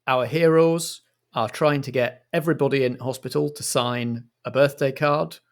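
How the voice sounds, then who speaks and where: A British man hosting and narrating improvised radio comedy in a measured, mock-formal manner. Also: mid-range voice, steady tone. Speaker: host and game master.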